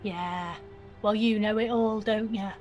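A woman's voice: mid-range voice, steady tone, unhurried, a little mellow, soft background music, natural voice. An actress playing a defiant, cheeky nineteen-year-old working-class woman in a naturalistic audio drama, blunt, teasing and slangy. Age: young adult.

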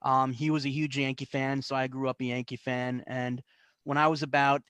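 A man's voice: medium pitch, flat delivery, normal speed, fairly bright tone, clear, resonant tone, no echo. A young adult man from the New York area telling stories as a guest in a casual interview, with many fillers and restarts. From New York and New Jersey, US. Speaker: guest artist.

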